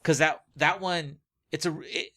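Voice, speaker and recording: A man co-hosting a podcast, chatting casually about books in a rambling, halting way that often trails off mid-phrase. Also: on the high side, steady tone, pushed and bright, dry recording, clean studio sound. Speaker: podcast co-host. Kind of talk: casual book-club chat.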